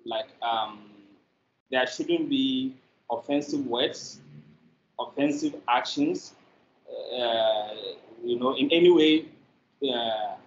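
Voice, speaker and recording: A middle-aged man with a Nigerian accent giving a conversational interview answer, hesitant and in broken phrases. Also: medium pitch. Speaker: media producer, interview guest. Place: echoey indoor room. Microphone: video call. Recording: echoey, noisy, dull video-call audio.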